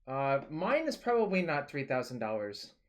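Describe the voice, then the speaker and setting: Young male streamer, relaxed, rambling, explains plays as he goes, mid-pitched voice. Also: moderate pace, slight background noise. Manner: relaxed, rambling, thinking aloud. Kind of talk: casual card-game stream commentary.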